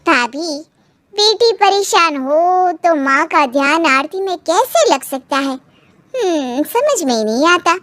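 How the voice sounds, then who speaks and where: The visiting sister-in-law of a cartoon family tale, setting everyone straight in a high, no-nonsense voice, acted by a woman. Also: lively intonation, slow pace, fairly bright tone, dry recording, heavily acted. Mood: pointed. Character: brisk, forthright adult sister-in-law visiting home. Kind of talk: animated moral story voice acting.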